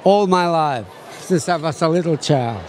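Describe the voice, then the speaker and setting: Old guy, bit high voice, simple halting answers outdoors. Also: lively intonation, faint music behind. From Poland. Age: 80s. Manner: plain short answers with hesitations. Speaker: interviewee.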